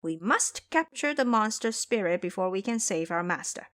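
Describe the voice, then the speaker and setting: A woman voicing a bold, combative male monkey disciple in an audio drama, commanding and excitable. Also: medium pitch, moderate pace, natural voice.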